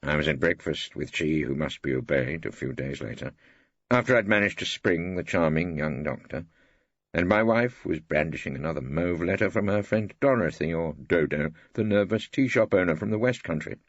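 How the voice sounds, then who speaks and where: Deep, gravelly Englishman narrating a story. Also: normal speed, dry recording, lo-fi sound. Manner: wry, theatrical storytelling. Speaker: audiobook narrator. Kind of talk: dramatised first-person fiction narration.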